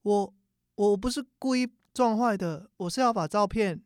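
A man's voice: high voice, normal speed, no room sound, studio quality, lightly acted. A man playing a young university student, a sulky but caring grandson who mutters expressively to himself in an everyday radio drama. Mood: flustered, defensive. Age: young adult. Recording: music under the voice. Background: new-age music.

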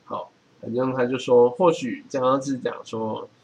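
A man's voice: medium pitch, steady tone, normal speed, vocal fry, a little mellow, poor audio. A man with a Taiwanese Mandarin accent speaking casually and colloquially as a podcast co-host. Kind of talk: casual chat, reading book passages aloud. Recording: faint background noise.

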